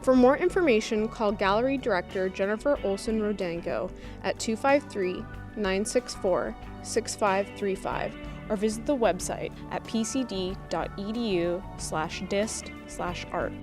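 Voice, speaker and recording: A woman's voice: medium pitch, a little slow. A woman reading a scripted announcement as the announcer of a campus TV program. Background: music.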